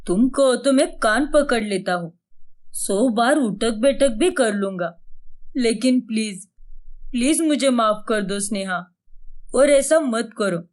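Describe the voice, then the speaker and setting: A woman's voice: fairly high pitch, expressive, takes it slowly. A woman playing an emotional husband in a dramatized story, speaking in an emphatic, melodramatic way. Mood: pleading, remorseful. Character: jealous husband, first scornful, then pleading and remorseful.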